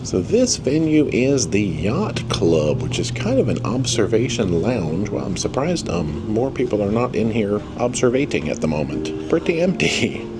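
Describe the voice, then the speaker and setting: A man's voice: on the low side, big pitch swings, normal speed, few pauses, over loud music. A man giving casual, chatty and jokey vlog commentary as he goes along. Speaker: travel vlogger.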